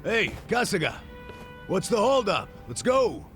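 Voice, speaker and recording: An actor playing a brash, friendly male companion who talks loudly and teases, in a video game dub. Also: sits high, big pitch swings, a little slow, full character voice. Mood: impatient. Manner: loud, brash, teasing. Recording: game cutscene with music behind.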